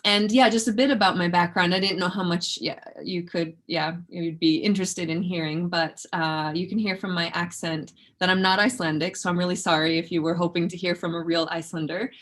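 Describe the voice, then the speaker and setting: A woman's voice: on the low side, fairly even intonation, fairly quick, hardly any pauses, fairly bright tone. A woman researcher giving an informal academic talk in a conversational, self-effacing way. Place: indoors in an office, online presentation.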